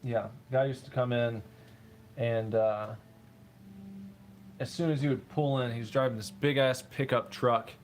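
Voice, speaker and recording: A man's voice: medium pitch, steady tone, a little slow, pauses often, slight background noise. A man hosting a casual building stream, laid-back, telling stories and reading chat aloud. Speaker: streamer host.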